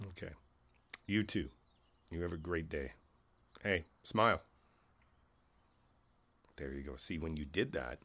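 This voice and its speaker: Older male neighbor played by a man, lowish voice, easygoing and philosophical, unhurried folksy pauses, reassuring one-on-one ASMR roleplay. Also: a bit bright. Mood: warm, encouraging. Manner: folksy, rambling, pausing, chuckling between thoughts. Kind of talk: ASMR roleplay, one-sided conversational monologue.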